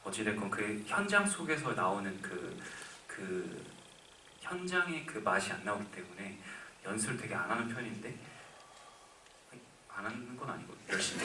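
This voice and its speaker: A young actor in his twenties answers an audience question in a cinema, heard from a distance with the hall's echo around him. His voice is lowish but bright and forward. He thinks aloud and often restarts his sentences. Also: a little hiss or hum. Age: 20s. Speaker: actor at a film Q&A. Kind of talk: live Q&A answer. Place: cinema auditorium. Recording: echoey cinema from far off.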